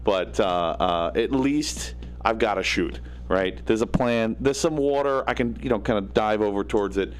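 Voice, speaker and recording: A man hosting a casual show from his home studio, with a gravelly, raspy, slightly nasal voice, rambling with plenty of fillers and self-deprecating asides. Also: lowish voice, normal speed. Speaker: host. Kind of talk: casual livestream talk. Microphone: studio microphone. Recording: faint hum in the electronics. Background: faint electrical hum.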